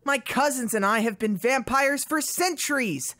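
A woman's voice: sits high, moderate pace, fairly bright tone. A woman voicing an exasperated, melodramatic male duck vampire in a live comic dub parody. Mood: brooding confession. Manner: theatrical, exasperated.

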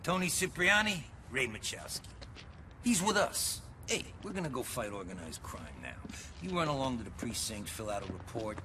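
Man acting a sarcastic crooked cop, swinging pitch. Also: very animated, moderate pace, background noise, lightly acted. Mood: slick, patronizing. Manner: sarcastic, condescending, wisecracking. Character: cynical, mocking corrupt male police detective. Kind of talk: video game cutscene acting.

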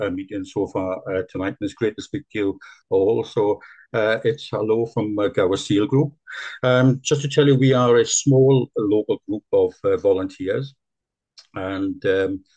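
Low-voiced Welsh man presenting. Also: warm tone, rough recording. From South Wales. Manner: hesitant, many fillers and restarts. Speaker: seal conservation volunteer presenter. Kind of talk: online meeting presentation. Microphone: video call.

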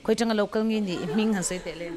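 A woman's voice: medium pitch, steady tone, fast talker, crowd noise. A middle-aged woman, chatting casually as she interviews contestants on a handheld microphone. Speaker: show host. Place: indoor lounge.

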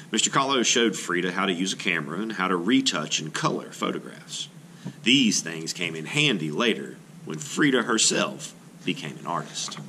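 A middle-aged man reading a children's nonfiction book aloud as an art teacher, in a clear, measured, expressive way. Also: on the low side, slight background noise.

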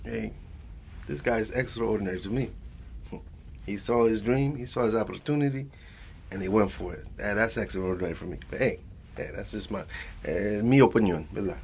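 Guy doing a doting old grandpa w spanish accent, low creaky voice, rambles and repeats himself, asmr bedtime story, bit of hiss. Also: a little fast, pauses often, a little mellow. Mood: admiring, musing. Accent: Spanish-accented English. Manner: rambling, repeats phrases, slips between English and Spanish.

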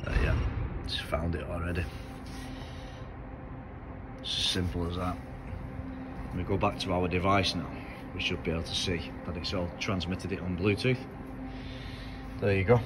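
A man's voice: sits low, steady tone, moderate pace, pauses often, over music. A British man in his forties, a car mechanic talking casually and bluntly to his phone camera in a workshop. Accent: British English. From United Kingdom. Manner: blunt, chatty, rambling with fillers. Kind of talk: casual vlog chat. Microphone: phone held at arm's length.

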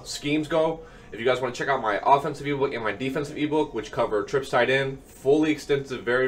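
A young man in his twenties, slightly nasal from a cold, giving upbeat casual commentary on his own game from a small room at home. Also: mid-range voice. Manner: upbeat, explanatory, many fillers. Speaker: gaming coach and YouTuber.

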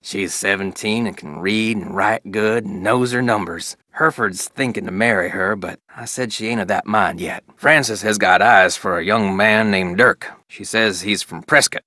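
A man's voice: lowish voice, moderate pace, few pauses, pushed and bright, no echo, studio quality. An actor playing a middle-aged, plainspoken Old West sheriff and father in a video game, reading his diary with a rural Western American accent. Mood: fond, proud. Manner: folksy, plainspoken. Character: weathered Old West sheriff, grieving, stern, loving father.

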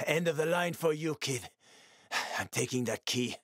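A man's voice: fairly high pitch, animated, a little slow, a bit bright, no room sound, studio quality. An actor playing a world-weary, streetwise male survivor in a video game, with a gritty, sardonic, foul-mouthed manner and dramatic delivery. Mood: weary, regretful.

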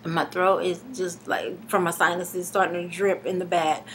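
Woman, 30s, congested, slightly hoarse, halting with fillers, webcam in living room, faint room noise. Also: on the low side, level tone. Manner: halting, many fillers and restarts. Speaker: vlogger. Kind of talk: casual video diary. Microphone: webcam at arm's length.

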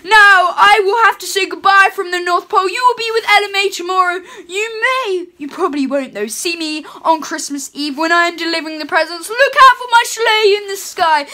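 A young woman playing a jolly, chatty Father Christmas reading a storybook aloud at home, her voice pushed lower in a playful put-on. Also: a little fast, hardly any pauses, bright, forceful tone. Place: home room with curtains.

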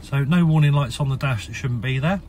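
A man with a croaky, hoarse voice and a British accent, casually talking through a car's features while filming in an indoor showroom. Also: medium pitch, fairly quick, slight background noise. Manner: relaxed, chatty listing of features. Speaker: car salesman. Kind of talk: walkaround car sales video. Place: indoor car showroom with tiled floor.

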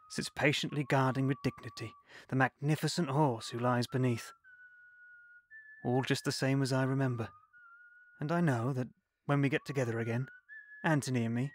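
Older male narrator, wistful, mid voice, light hiss. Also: medium pitch. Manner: slow, wistful. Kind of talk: scripted reflective narration.

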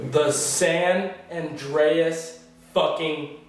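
Young guy laughing on the couch, bit high, echoey room far off. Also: very slow, creaky, a little hiss or hum. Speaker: actor in a comedy sketch. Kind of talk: casual chat between takes. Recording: echoey room, distant mic.

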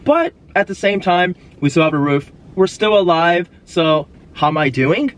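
A young man hosting a casual vlog, excitable and quick to laugh and yelp. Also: high voice. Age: young adult. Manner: excitable, yelps and laughs often. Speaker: host. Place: large home kitchen indoors. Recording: echoey room with background music.

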